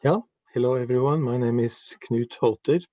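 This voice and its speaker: A man, a professor, introducing himself in a measured way with some fillers over a video call. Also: medium pitch, steady tone, mellow, no echo. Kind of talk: self-introduction in an online seminar.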